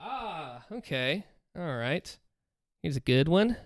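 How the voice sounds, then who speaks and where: A man's voice: a little high, big pitch swings, slow, dry recording, clean studio sound. A man with a Southern US accent, chatting casually on a livestream, thinking aloud and encouraging viewers. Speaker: streamer. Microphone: close desk mic with headphones.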